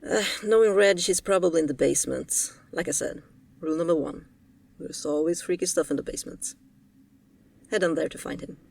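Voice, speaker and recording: A woman's voice: sits low, brisk, gravelly, darkish tone, slightly rough, recorded from a distance, heavily acted. A woman playing a laid-back ghost hunter in an audio roleplay, talking casually and offhandedly to a rookie. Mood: casual, offhand. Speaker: paranormal investigator character.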